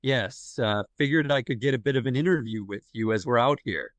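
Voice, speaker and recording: A man's voice: medium pitch, dry recording, close to an everyday voice. Man playing a hesitant, shy writer, dry sound. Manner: hesitant, halting, apologetic. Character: shy, awkward male writer from the South. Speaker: actor in an audio play. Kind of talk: naturalistic audio drama dialogue.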